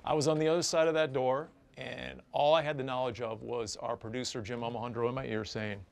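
A man's voice: mid-range voice, level tone, a little fast, creaky. A man telling anecdotes in an interview in the polished style of a broadcaster.

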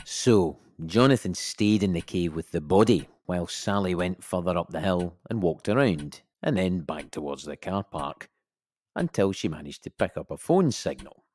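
Measured, blunt male cop giving testimony; deep voice, dry studio sound, audio drama. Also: lively intonation, a little mellow, dry recording. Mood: procedural. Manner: measured, official, slips into bluntness. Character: dry, procedural male police officer giving account.

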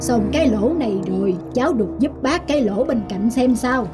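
Woman as a highish-voiced older mentor bird. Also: lively intonation, normal speed, lightly acted. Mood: instructive. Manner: encouraging, instructive. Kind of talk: cartoon voice acting. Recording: music behind the voice.

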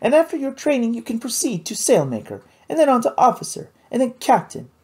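Raised high above the reader's own register, this is an earnest, well-off young woman, bright-eyed and full of opinions, as a storyteller puts her on. The tone stays mellow and a little husky, and the pitch swings freely as she talks. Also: big pitch swings, fairly quick. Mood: indignant. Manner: earnest, indignant at unfairness. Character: wealthy, eager, well-meaning young woman.

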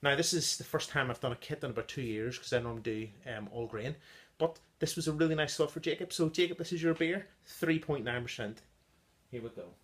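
A man in his thirties talks through a beer he brewed at home, in a relaxed, halting way with frequent ums. He is recorded indoors in a small room on a camera close in front of him. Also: medium pitch, a little hiss or hum. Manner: chatty, halting with many ums, tasting notes. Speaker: home-brew beer reviewer. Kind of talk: casual beer review vlog. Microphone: device camera at close range.

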